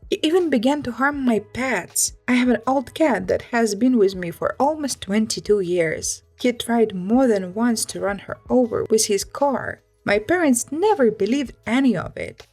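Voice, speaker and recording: A woman's voice: on the high side, a little mellow. A woman narrating a scripted first-person story, reading it aloud.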